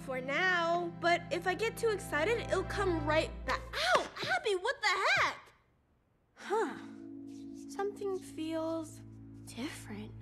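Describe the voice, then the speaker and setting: A young woman playing an excitable, easily mortified teenage girl in an animated film, flustered, dramatic and halting. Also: very high voice, exaggerated voice. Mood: relieved, amazed. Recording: music behind the voice.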